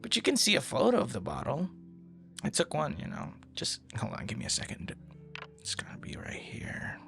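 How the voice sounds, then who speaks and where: A man acts out an earnest, friendly young man in an intimate audio roleplay. His pitch moves in a very lively way and often frays into fry. He speaks conversationally, hesitating and repeating himself as if easily flustered, with faint music behind him. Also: in a whisper, heavily acted. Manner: hesitant, halting, soft-spoken asides, repeats words. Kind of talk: ASMR boyfriend roleplay, conversational.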